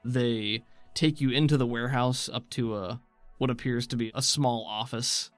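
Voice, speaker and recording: A man's voice: mid-range voice, steady tone, moderate pace, dry recording. A man narrating a tabletop game as game master, descriptive and conversational, improvising as he goes.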